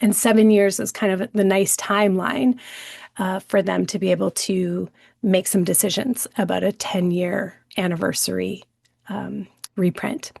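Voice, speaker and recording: A woman's voice: medium pitch, fairly even intonation, measured pace, creaky, darkish tone, no room sound, clean studio sound. A woman hosting a podcast, talking in a chatty, enthusiastic way with plenty of fillers. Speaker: podcast host. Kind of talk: casual podcast chat.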